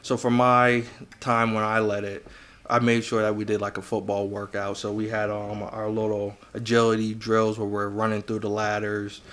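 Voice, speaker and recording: Low-voiced American man, fairly even tone. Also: normal speed. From United States, Virginia. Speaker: podcast guest, business coach. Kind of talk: casual interview chat.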